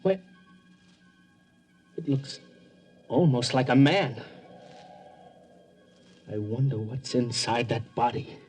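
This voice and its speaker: An actor playing a wary space explorer in a 1950s radio drama, speaking with hushed wonder. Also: male voice, mid-range voice, slow, pauses often, lightly acted. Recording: vintage radio recording, faint music.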